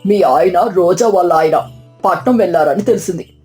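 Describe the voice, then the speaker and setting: Woman voicing a mellow, hearty male guest. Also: lowish voice, normal speed, soft background music, voice pushed down, lightly acted. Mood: friendly. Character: kind, helpful adult male guest, hearty.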